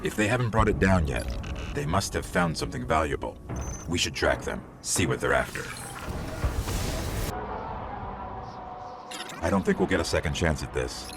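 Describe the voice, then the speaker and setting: Game companion robot: deep, gravelly, varied intonation, wry and earnest briefing. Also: male voice, very animated, brisk, noisy background, heavily acted. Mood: intent. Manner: matter-of-fact, expository. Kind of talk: video game mission briefing. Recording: music behind.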